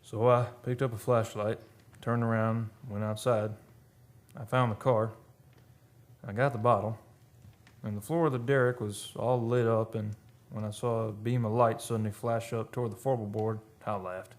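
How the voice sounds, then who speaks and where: A man's voice: on the low side, flat delivery, normal speed, lightly acted. An American man playing a plain-spoken, easygoing oil rig hand in a radio play, talking and telling his tale in a folksy, ungrammatical way. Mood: matter-of-fact storytelling. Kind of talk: radio play acting and narration.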